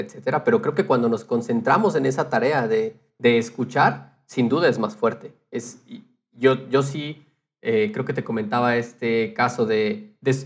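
A man co-hosts a podcast in a voice of medium pitch. He speaks informally, in a conversational way. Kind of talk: casual conversational podcast talk.

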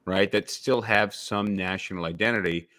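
A man hosting a casual discussion, a few drinks in, talking haltingly with many restarts and fillers. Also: fairly low pitch, steady tone, sharp tone, dry recording, studio quality. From New York State, USA. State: several drinks in.

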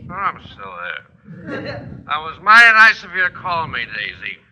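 An actor playing a confused, sentimental drunk on the telephone in old radio comedy, slurring and rambling. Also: male voice, high-pitched, sing-song, moderate pace, sharp tone, exaggerated voice. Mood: cheerfully confused. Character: maudlin, confused, friendly drunk man on a telephone. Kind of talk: 1940s-50s radio comedy acting. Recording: noisy, dull old-time radio recording.

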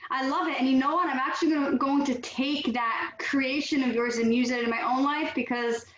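A woman's voice: sits high, fairly even intonation, a little fast, fairly bright tone, roomy sound, highs cut off. A woman co-hosting a podcast conversation, responding with enthusiasm and affirmation.

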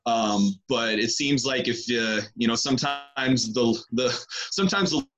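This is a man in his thirties, a strength and conditioning coach speaking as an interview guest. He talks in an easygoing, unhurried way, with frequent pauses as he recalls where he has worked. His voice sits at an ordinary middle pitch. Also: a bit bright, poor audio. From British Columbia, Canada. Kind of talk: casual interview, recounting his career.

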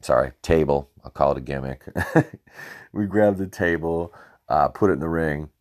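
A man's voice: normal speed, darkish tone. A man hosting a podcast, telling rambling, swear-filled stories about his time as a ring announcer, with lots of fillers and restarts, recorded on a cheap home microphone. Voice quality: very deep voice. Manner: laughs at his own stories. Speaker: podcast host and former wrestling ring announcer. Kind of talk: casual podcast storytelling.

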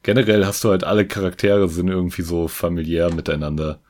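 A man in a relaxed, reflective casual chat about a film. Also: low-pitched, quick pace. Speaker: podcast co-host.

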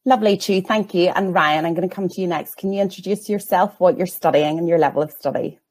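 A woman hosts a careers webinar and politely calls on each panelist in turn. Her voice sits a little low for a woman, and she keeps a brisk, friendly pace. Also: darkish tone. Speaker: host, careers adviser.

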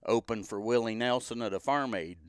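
A man's voice: mid-range voice, brisk, clear, resonant tone, no room sound, studio quality. An older man, talking as a guest in a plainspoken, folksy way and laughing often. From Missouri. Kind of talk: casual chat.

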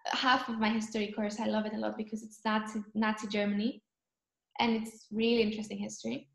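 A young woman from Cyprus, chatting in an interview and often pausing to search for words. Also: medium pitch, level tone, creaky, reverberant. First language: Greek. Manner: thoughtful, searching for words, frequent ums. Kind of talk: casual chat.

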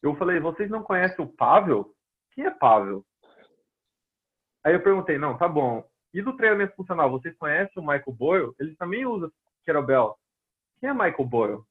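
A Brazilian-accented man talks on a tinny, phone-like line, his fairly high voice a little husky. He tells stories from his work as a personal trainer in casual conversation and briefly plays out each person he quotes. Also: big pitch swings, a little fast. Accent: Brazilian Portuguese. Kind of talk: casual storytelling interview.

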